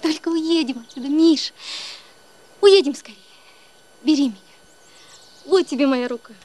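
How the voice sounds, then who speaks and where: A woman's voice: high voice, normal speed, darkish tone, natural voice. An actress playing a loving young wife who pleads with her husband earnestly and emotionally in a film. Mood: pleading.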